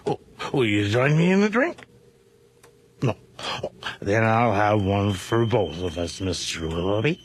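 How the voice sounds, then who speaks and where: An actor playing a bitter, tipsy servant muttering sardonically to himself in a radio horror drama. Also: male voice, fairly low pitch, expressive, unhurried. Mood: bitter, mocking. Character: bitter tipsy servant toasting and cursing his dead master. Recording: faintly noisy old radio drama recording.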